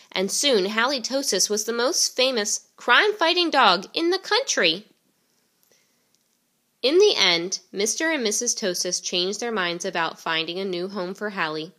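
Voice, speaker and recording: Bright-voiced woman reading a picture book. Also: mid-range voice. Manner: expressive, storytelling delivery. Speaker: reader.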